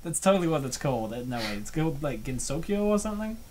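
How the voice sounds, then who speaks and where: A playful host with a lively, wide-ranging pitch reads and comments on a webcomic. The delivery is casual and rambling, given to excitable reactions. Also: quick pace, a little hiss or hum. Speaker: comic dub host.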